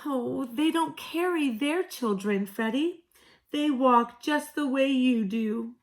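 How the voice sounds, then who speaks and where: A woman voicing an anxious, excitable mother kangaroo who wails and pleads in a high voice. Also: slow, low-quality recording. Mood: explaining.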